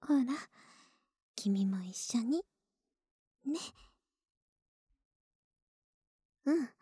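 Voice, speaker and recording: An actress plays a young woman next door, sleepy and affectionate, coaxing her listener to stay cuddled up. Her high voice is mellow and often drops to a whisper. She speaks slowly and tenderly, very close to the mic, in a soothing ASMR drama style. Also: animated, dry recording, full character voice. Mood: affectionate, drowsy. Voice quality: soft, breathy, often whispered. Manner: slow, tender, coaxing, drawn-out drowsy words. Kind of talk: Japanese ASMR situation voice drama, intimate and soothing. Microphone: very close ASMR microphone, dry sound.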